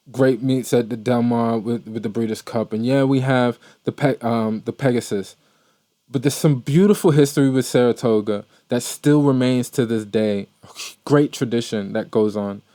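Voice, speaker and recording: A man's voice: medium pitch, warm tone, dry recording, clean studio sound. Rambling man hosting a racing podcast. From Maryland, USA. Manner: rambling, repeats words, many fillers. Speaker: podcast host. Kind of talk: casual commentary.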